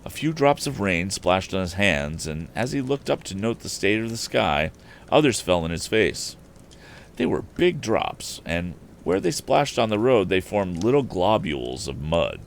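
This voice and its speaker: A man's voice: low voice, sing-song, unhurried, creaky, a little hiss or hum. A man reading an old adventure novel aloud as a storyteller, expressively and with the occasional stumble. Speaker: storyteller on a live stream.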